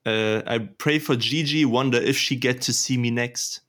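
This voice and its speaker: A man's voice: mid-range voice, normal speed, a bit bright. A young man co-hosting a podcast, chatting casually and emphatically about rap albums. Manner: enthusiastic, emphatic, slangy. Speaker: podcast co-host. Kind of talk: casual chat about music.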